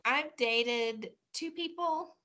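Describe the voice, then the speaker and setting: A woman in her forties, chatting as a podcast guest. She talks at an unhurried pace, pausing and reaching for words as she reflects. Her voice has a bright, forward ring. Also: high voice. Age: 40s. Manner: unhurried, reflective, many pauses and fillers. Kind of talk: casual chat.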